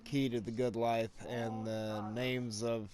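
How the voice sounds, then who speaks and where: A man's voice: mid-range voice, flat delivery, slow. A man giving casual gameplay commentary as the host of a walkthrough. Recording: loud game music behind. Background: game music and sound effects.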